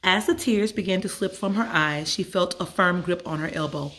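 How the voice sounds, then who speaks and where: A woman's voice: lowish voice, moderate pace, fairly bright tone. A woman storyteller narrating a children's story from a script.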